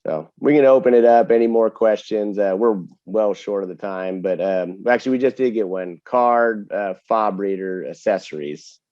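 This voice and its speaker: A man's voice: on the low side, moderate pace, no room sound, lo-fi sound. A man explaining technical details in a casual webinar Q&A, often checking for agreement as he goes. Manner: explanatory, often seeks agreement with tag questions. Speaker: webinar host and distributor product expert.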